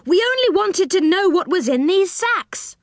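A woman voicing a curious, excitable boy adventurer in a storybook, eager and earnest. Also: high-pitched, drawn-out words, creaky, no echo, clean studio sound, voice pushed up, heavily acted. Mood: protesting.

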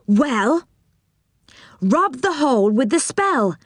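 Woman reader as young boy for children's story: high, animated, eager, wide-eyed, helpful. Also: slow pace, dry recording, clean studio sound, heavily acted.

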